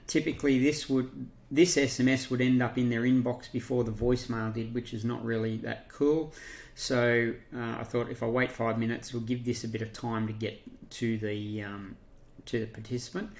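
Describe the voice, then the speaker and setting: An Australian man giving an unscripted software walkthrough, talking through each step haltingly as he thinks aloud. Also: medium pitch. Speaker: software tutorial presenter. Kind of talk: unscripted screen-share walkthrough.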